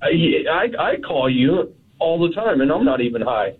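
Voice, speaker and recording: A man's voice: fairly high pitch, big pitch swings, normal speed, husky. A man calling in to a radio show, stammering as he gushes about the host. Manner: stammering, gushing fan. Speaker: caller. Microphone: phone. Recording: tinny phone line.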